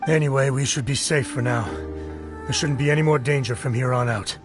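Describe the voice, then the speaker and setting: An actor plays a sensible army captain with a mid-pitched male voice. He is dutiful and businesslike, stating things matter-of-factly. Music plays clearly behind the game dialogue. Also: fairly even intonation, brisk, close to an everyday voice. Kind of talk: video game character acting.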